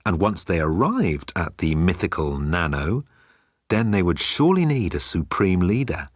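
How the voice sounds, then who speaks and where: Man voicing a cocky low-pitched alien swindler. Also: sing-song, normal speed, no room sound, clean studio sound. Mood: sly, persuasive. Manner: mocking, condescending. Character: cocky, sly alien swindler, scornful of humans.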